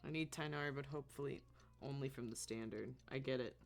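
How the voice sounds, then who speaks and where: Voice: medium pitch, fast talker, creaky. A streamer giving informal, off-the-cuff commentary while playing a video game. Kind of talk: casual game livestream commentary.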